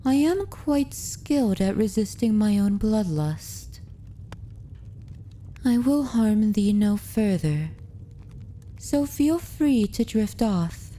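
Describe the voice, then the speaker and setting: A woman voicing a dominant, tender vampire mistress in a slow ASMR roleplay, speaking softly and often dropping to a whisper, with formal old-fashioned phrasing. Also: mid-range voice, slight background noise. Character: dominant, tender female vampire mistress soothing her pet.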